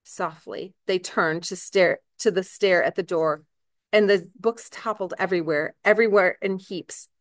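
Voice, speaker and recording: A woman reading a novel aloud in expressive literary narration. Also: fairly low pitch, moderate pace, no room sound, clean studio sound. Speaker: reader, author.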